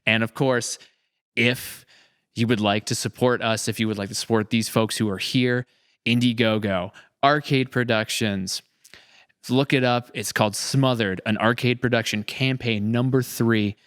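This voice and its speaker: A man's voice: mid-range voice, fairly even intonation, moderate pace, a bit bright, smooth. A man hosting a casual podcast chat, talking in an informal, enthusiastic way. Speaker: podcast host.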